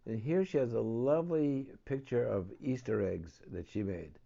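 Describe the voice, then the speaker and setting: A man's voice: mid-range voice, moderate pace, gravelly, warm tone, dull audio. A man reading a picture book aloud for children as a storyteller and host.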